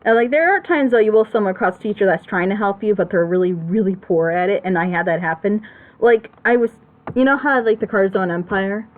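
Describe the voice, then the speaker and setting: A woman's voice: mid-range voice, fairly quick. Emphatic woman chatting on a muffled recording. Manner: emphatic, rambling. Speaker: host of a reaction show. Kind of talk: casual chat, opinionated commentary.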